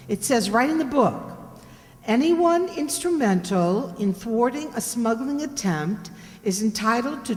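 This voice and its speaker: A woman's voice: on the high side, a little mellow. A woman playing a spirited, sardonic detective's secretary in an old-time radio drama. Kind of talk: 1940s radio drama acting. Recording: echoey hall.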